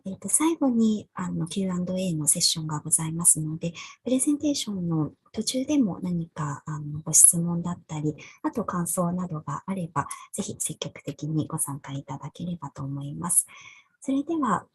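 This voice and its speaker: A woman's voice: fairly low pitch, darkish tone, slightly rough. A woman moderating a webinar on a video call, giving a polite formal introduction with many "ano" fillers.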